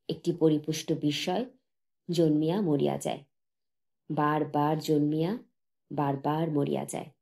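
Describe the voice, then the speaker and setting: A woman reads literary fiction for an audiobook. Her voice is on the low side and distinctly dark and relaxed in tone. The delivery is measured and solemn. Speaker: audiobook narrator. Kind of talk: scripted literary story narration.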